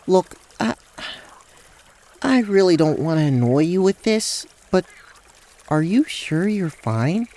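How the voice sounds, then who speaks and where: High-pitched earnest young male goat played by a man, animated, folksy, stammering, gentle, fantasy audio drama. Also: slow pace, full character voice. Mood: anxious.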